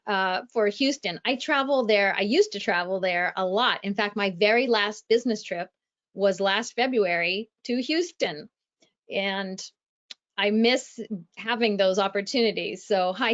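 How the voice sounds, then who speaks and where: Measured woman from Ohio telling her story. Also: mid-range voice, fairly bright tone, lo-fi sound. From Ohio, USA. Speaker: author and guest. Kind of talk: podcast interview.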